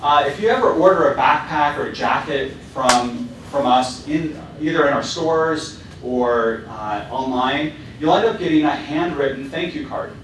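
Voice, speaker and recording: A man's voice: fairly high pitch, measured pace, hardly any pauses, fairly bright tone, far from the mic, slight background noise. Man talking in a very echoey hall. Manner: casual, with many fillers and restarts. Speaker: company founder giving a talk. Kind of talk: presentation to students.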